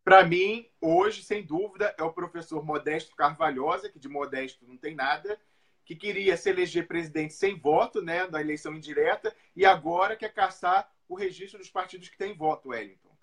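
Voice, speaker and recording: Higher-pitched man commenting on politics. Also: moderate pace, fairly bright tone.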